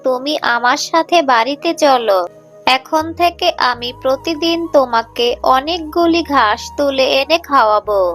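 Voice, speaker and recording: A woman voicing a kindly, caring mother fox in a cartoon, earnest and gentle. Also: sits high, unhurried, soft background music. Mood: reassuring. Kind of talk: cartoon voice acting.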